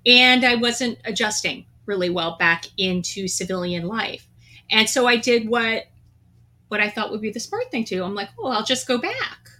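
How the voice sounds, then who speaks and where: A woman's voice: fairly high pitch, expressive, moderate pace, pushed and bright. A middle-aged woman telling her own story in an earnest, reflective way, with a few light laughs. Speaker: guest, veteran. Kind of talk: candid personal storytelling.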